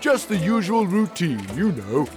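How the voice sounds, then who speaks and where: An actor playing a big, hearty, simple Gaulish warrior in a cartoon game, blunt and boyishly earnest. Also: male voice, high voice, big pitch swings, measured pace, music in the background. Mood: easygoing.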